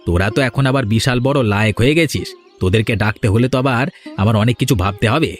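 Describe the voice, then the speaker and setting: A man's voice: medium pitch, quick pace, lightly acted. A man voicing a domineering, resentful elder brother in a village family for a fairy-tale cartoon, over music. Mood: sarcastic, bitter.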